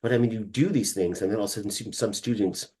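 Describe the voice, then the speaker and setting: A man hosting a conversation, animated and quick to restart his sentences with repeated words. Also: lowish voice, husky. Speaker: podcast host and trainer. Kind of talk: conversational interview.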